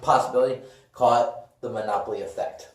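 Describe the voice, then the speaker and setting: A man co-hosting a podcast in casual chat, building up his points slowly and emphatically. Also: medium pitch, fairly even intonation. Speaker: podcast co-host. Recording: echoey room with faint noise and clicks.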